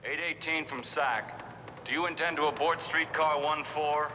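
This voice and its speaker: An actor playing a clipped military command-post operator in a vintage television drama, formal and procedural. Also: male voice, a little high, steady tone, normal speed, pushed and bright. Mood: businesslike. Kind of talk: 1960s television drama acting. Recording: reverberant, slightly noisy old soundtrack.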